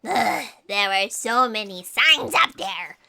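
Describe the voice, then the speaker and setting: An actress playing an eager, scatterbrained fact-checker in an audio drama, excitable and emphatic. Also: female voice, medium pitch, slow pace, gravelly, heavily acted. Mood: eager, flustered.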